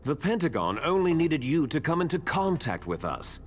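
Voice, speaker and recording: Game villain: bitter soldier twin, smug menace, higher-set male voice with dramatic swings, music behind. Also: very animated. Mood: coolly explaining. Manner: theatrical, smug, drawn-out menacing delivery. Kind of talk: dramatic video game cutscene acting.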